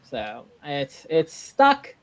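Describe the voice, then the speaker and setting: A woman's voice: low-pitched, huge pitch swings, extremely slow. A young woman with a Midwestern American accent, chatting animatedly as a guest on a video call, often repeating words as she starts a thought. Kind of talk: casual video call chat.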